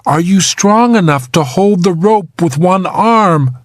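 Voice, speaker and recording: Man voicing a steady, high-pitched woman rescuer. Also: slow, no room sound, clean studio sound, soft background music. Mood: focused. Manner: firm, encouraging, urgent. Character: steady, determined woman rescuer, reassuring under pressure. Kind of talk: audiobook character dialogue.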